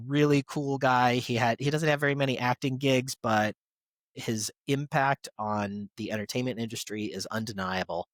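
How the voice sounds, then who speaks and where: A man's voice: mid-range voice, fairly even intonation, moderate pace. A male podcast host with a mid-range voice reads semi-scripted tributes. He delivers them like an announcer, with an enthusiast's personal touch. The sound is dry, with no room echo. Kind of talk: reading birthday tributes to actors, semi-scripted podcast segment.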